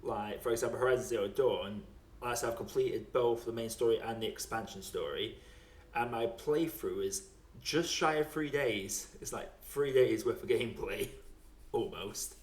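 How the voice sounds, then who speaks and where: A man's voice: mid-range voice, moderate pace, far from the mic. A man hosting a podcast in casual chat, talking in a wry, rambling, conversational way. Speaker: podcast host. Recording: roomy, echoey home recording.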